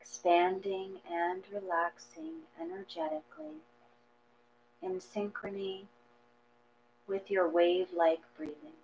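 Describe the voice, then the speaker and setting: A woman leading a guided meditation, reading slowly and deliberately in short phrases. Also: medium pitch, big pitch swings, a little mellow. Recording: dull recording with hum.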